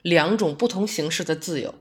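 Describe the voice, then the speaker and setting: A woman's voice: fairly low pitch, a little fast, close to an everyday voice. A woman acting a friendly, reflective working woman, a young adult theatre fan, in conversational, naturalistic audio drama dialogue.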